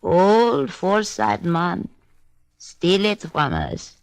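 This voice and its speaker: An actress playing a vengeful old woman in a radio drama, speaking halting, ominous broken English. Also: on the low side, sing-song, heavily acted. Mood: brooding. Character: old bayou woman, brooding, vengeful, last of her family.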